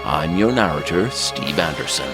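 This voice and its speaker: A man narrating an audio drama series, reading scripted credits in a deliberate, dramatic announcer style. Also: extremely low pitch, sing-song, loud music behind the voice.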